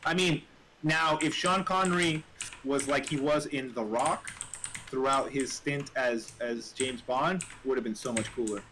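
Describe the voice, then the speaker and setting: A man in casual, opinionated conversation, rambling in an animated way with frequent filler words and some swearing. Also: mid-range voice, unhurried. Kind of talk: casual chat, opinionated film talk. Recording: noisy room with keyboard clatter.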